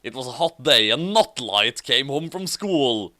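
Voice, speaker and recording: Slow, theatrical comic reader with swooping delivery. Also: medium pitch, lively intonation, sharp tone, no room sound, clean studio sound. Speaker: host reading fan fiction aloud. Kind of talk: comic dramatic reading with casual banter.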